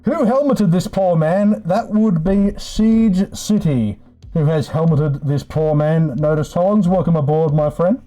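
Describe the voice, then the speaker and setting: Guy streamer w soft mellow voice, chummy laid back chat, bit of music in the bg. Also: on the high side, unhurried, rough recording. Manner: laid-back, sweary, chummy address to viewers. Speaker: live streamer host. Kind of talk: casual livestream chat. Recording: music playing in the background. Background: background music.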